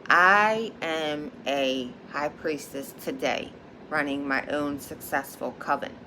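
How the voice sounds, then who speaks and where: A woman from Philadelphia with a Philadelphia accent, talking to camera in a casual vlog as a high priestess, emphatic and repeating her points with a frequent "okay?". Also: noisy background. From Philadelphia, United States.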